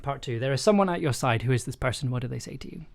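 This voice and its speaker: A man's voice: mid-range voice, lively intonation, very fast, creaky. A man livestreaming in a casual, hesitant way, thinking aloud and reading from his own story draft. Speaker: streamer and audio drama writer. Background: chill soundtrack music.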